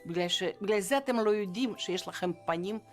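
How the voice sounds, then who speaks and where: A woman's voice: mid-range voice, racing, soft background music. A woman speaking earnestly and personally in a documentary interview.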